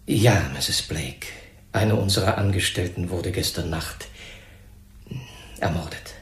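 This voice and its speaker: An actor playing an officious, formal personnel manager in a crime radio drama, speaking very correctly. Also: male voice, sits low, takes it slowly. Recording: roomy, echoing interior sound.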